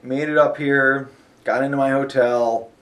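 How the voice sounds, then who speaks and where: A man's voice: mid-range voice, fairly even intonation, unhurried, fairly bright tone. A man with a sniffly nose, chatting casually and rambling about a road trip, full of fillers. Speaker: vlogger. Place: hotel.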